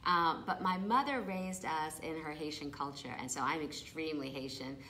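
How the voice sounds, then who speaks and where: A woman's voice: low voice, normal speed, a little hiss or hum, low-quality recording. A middle-aged woman from Brooklyn, a guest chatting casually and laughing often in an interview. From Brooklyn, New York. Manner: lively, laughs often, talks over the host.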